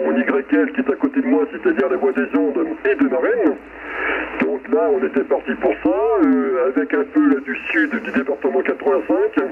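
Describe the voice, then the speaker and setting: A man chatting casually in a halting way, heard through a radio transmission. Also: extremely high pitch, vocal fry. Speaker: mobile CB radio operator. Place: transmitting from a car. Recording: tinny, noisy CB radio reception.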